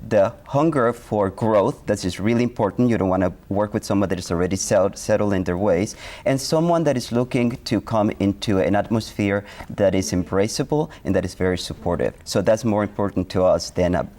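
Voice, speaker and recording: Man, low voice. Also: brisk, hardly any pauses, darkish tone. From Honduras. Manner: earnest, with frequent fillers and restarts. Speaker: dance company artistic director. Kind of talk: studio TV interview guest. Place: TV studio.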